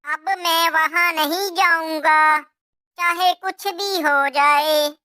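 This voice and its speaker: A woman's voice: very high-pitched, steady tone, slow pace, pushed and bright, full character voice. A woman voicing a young male crow, a stubborn, childlike son in a cartoon moral story. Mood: sulky.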